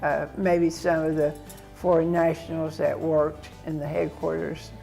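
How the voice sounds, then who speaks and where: An elderly woman from Tennessee recounts her years in the army in an unhurried, reflective way, clearing her throat now and then. Her voice is low and very gravelly, and her pace is slow. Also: little pitch movement, dark tone, soft background music. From Tennessee, United States. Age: older. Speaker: veteran guest. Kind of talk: recalling wartime memories.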